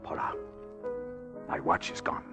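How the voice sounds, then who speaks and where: An actor playing a controlling, manipulative husband with smooth condescension, in old-time radio drama style. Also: male voice, unhurried, close to an everyday voice. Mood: uneasy, tense. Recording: vintage radio recording, orchestral music.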